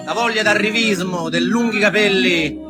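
A man tells a story in a theatrical, reflective way, with dramatic pauses and shifting pace. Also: high-pitched, loud music behind the voice. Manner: dramatic pauses, shifts between slow and quick phrases. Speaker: storyteller, stage performer.

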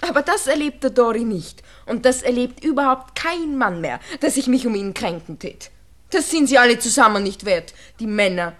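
A woman's voice: on the high side, animated, normal speed, fairly bright tone. An actress playing a worldly-wise, bossy young Viennese shop girl in a radio drama, chatty and teasing. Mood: scornful, lecturing. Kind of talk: 1960s German radio drama acting.

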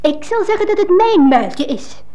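A woman's voice: extremely high pitch, sing-song, moderate pace, dark tone. A woman with a Flemish accent playing a vain, boastful young stepsister in theatrical 1950s fairy-tale radio acting. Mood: boastful, haughty. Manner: haughty, squabbling, theatrical.

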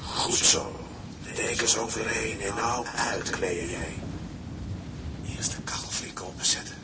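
An actor playing a gruff, commanding seasoned seaman in a radio drama, barking impatient, practical orders. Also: fairly low pitch, unhurried, lightly acted. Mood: brisk, firm. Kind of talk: Dutch audio drama acting. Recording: loud sea and boat noise.

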